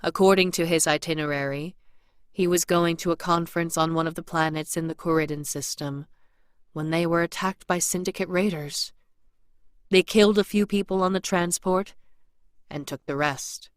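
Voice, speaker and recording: A woman's voice: on the low side, fairly even intonation, moderate pace, clear, resonant tone, no room sound, studio quality, natural voice. A woman playing an awkward, apologetic messenger in an audio drama, explaining things politely. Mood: explanatory. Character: awkward, apologetic woman bearing painful family news.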